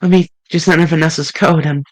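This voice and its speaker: A man's voice: fairly high pitch, steady tone, brisk. A man streaming a game, chatting casually in a bemused way. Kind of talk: casual gaming commentary.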